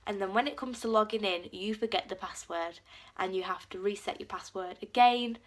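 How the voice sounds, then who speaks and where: A woman's voice: medium pitch, a little fast. A teenage girl with a cold, chatting casually to camera and filling her talk with "like". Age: teens. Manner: chatty, lots of "like" fillers, acts out quotes. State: has a cold. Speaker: vlogger.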